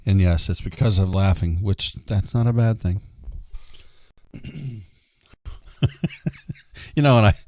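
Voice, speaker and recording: A hoarse man co-hosting a live show, chatting casually and laughing often. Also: on the low side, takes it slowly, pauses often, darkish tone, dry recording. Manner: laughs a lot. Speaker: co-host.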